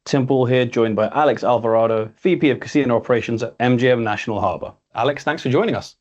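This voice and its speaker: Quick-talking male interview host. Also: mid-range voice, highs cut off. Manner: rambling questions with restarts. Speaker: podcast host. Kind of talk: interview hosting.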